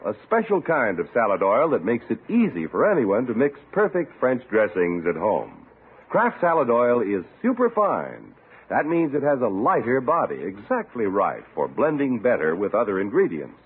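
Very animated male old-time radio announcer. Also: medium pitch, normal speed, breathy edge, narrow, tinny audio. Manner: enthusiastic, polished sales delivery. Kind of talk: 1950s scripted radio announcing. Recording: thin old radio broadcast recording.

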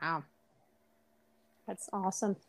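A woman hosting an interview, upbeat and quick to laugh. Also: medium pitch, creaky, dry recording. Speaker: interviewer host. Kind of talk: interview chat.

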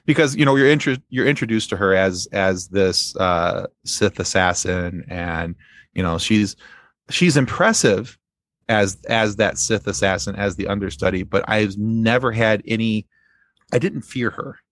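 A man as a podcast guest, chatting casually about fandom in halting phrases. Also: fairly low pitch, a bit bright, no echo, clean studio sound. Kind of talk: casual fan discussion.

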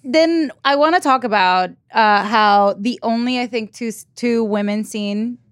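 A young woman co-hosting a casual chat about an episode, giggly and animated, with crude asides. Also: fairly high pitch, a little slow, no room sound, studio quality. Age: young adult. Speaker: podcast co-host.

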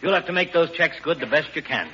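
High-pitched, blustering stern banker. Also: male voice, lively intonation, fast talker, bright, forceful tone, a little hiss or hum. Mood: stern, exasperated. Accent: American. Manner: scolding, emphatic lecturing. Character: stern, exasperated male banker, indignant and blustering. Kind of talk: 1940s American radio comedy acting. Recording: dull vintage radio recording.

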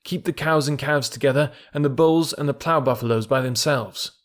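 A man's voice: mid-range voice, normal speed, voice pushed up, close to an everyday voice. A man voicing a bold young jungle boy who gives orders eagerly. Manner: eager, commanding calls.

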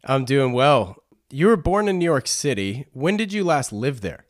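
A man's voice: mid-range voice, very animated, normal speed. A man hosting a podcast, speaking as a presenter and interviewer. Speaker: podcast host. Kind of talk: scripted narration and interview.

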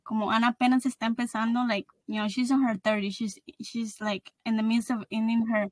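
A woman's voice: on the high side, flat delivery, fast, dry recording. A woman in her thirties hosting a casual podcast conversation, switching between Spanish and English mid-sentence. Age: 30s. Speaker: podcast host.

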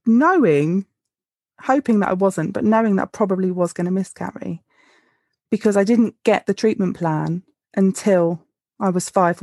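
Female co-host, 30s, candid and wry, middle pitch, dry close recording. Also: a little mellow, dry recording. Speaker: podcast co-host. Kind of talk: casual heartfelt conversation.

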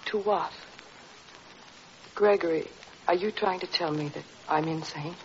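An actress playing a frightened, self-doubting wife in 1940s radio drama, anxious, halting and pleading. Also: female voice, fairly low pitch, slight background noise. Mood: frightened, distressed. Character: frightened, doubting young wife being driven to despair. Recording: dull old radio broadcast recording.

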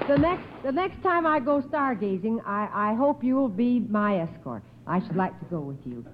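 Female 1950s children's quiz host, encouraging, expressive delivery, narrow-band old broadcast sound. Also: fairly high pitch, moderate pace, background noise. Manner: encouraging, acknowledges each answer. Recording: narrow, tinny old radio recording.

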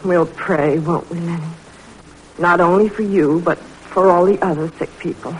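A woman's voice: fairly low pitch, fairly even intonation, moderate pace, natural voice, rough recording. A woman playing a worried, plain-spoken mother of a sick child in a 1940s radio drama. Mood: hushed, hopeful. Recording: old radio recording with faint noise.

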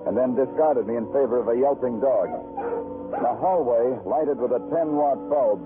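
Male actor as hard-boiled detective, American, wry, deliberate narration, vintage radio crime drama, thin phone-like sound, prominent music. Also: medium pitch, natural voice. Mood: wry, brooding. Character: wry, weary, steady male police detective narrating his case. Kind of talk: old-time radio crime drama acting.

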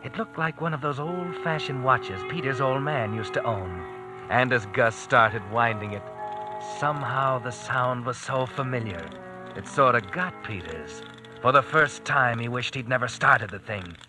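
A man's voice: medium pitch, unhurried. Male radio crime narrator over music. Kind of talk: hard-boiled scripted radio drama narration. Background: dramatic music.

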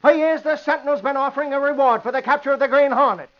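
A man's voice: extremely high pitch, fast talker. A man playing an irascible, blustering newspaper city editor in 1940s radio drama style. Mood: indignant, blustering. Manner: blustering, indignant, emphatic. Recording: muffled vintage radio recording.

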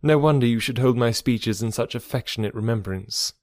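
A man's voice: mid-range voice, fairly quick, darkish tone, no room sound, clean studio sound, lightly acted. A man voicing a witty, teasing young woman in audiobook dialogue, lifting his voice slightly for her.

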